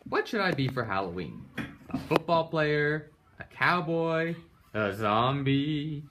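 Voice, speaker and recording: Storybook boy frog, acted by a man, cheeky, excitable, swooping pitch, bright voice, drawn-out exclamations. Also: heavily acted. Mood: wondering. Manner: exclaiming, dramatic, drawn-out words.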